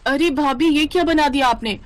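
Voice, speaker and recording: A woman's voice: high-pitched, normal speed, slight background noise, voice pushed up, lightly acted. A woman voicing a pushy young sister-in-law in a family story, picky and insistent. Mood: picky, demanding.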